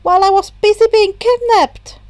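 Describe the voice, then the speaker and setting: A woman's voice: extremely high pitch, steady tone, moderate pace, darkish tone, no room sound, voice pushed up. A woman voicing a polite, awkward teenage boy who speaks in a hesitant, confused way in a story.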